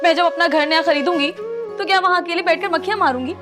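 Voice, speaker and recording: A woman's voice: very high voice, fast talker. An actress playing a theatrical young woman who chats and complains dramatically to a friend, over background music. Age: young adult. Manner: theatrical, self-pitying, chatty.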